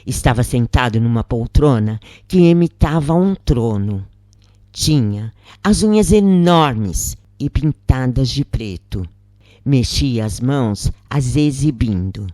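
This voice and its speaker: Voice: medium pitch, lively intonation, takes it slowly, mellow, no room sound, studio quality. A narrator reading a scripted first-person story.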